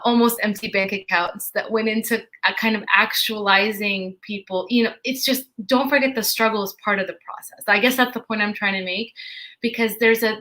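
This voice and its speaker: Bright-voiced earnest woman panelist. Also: mid-range voice. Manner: earnest, encouraging, many fillers. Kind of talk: panel discussion.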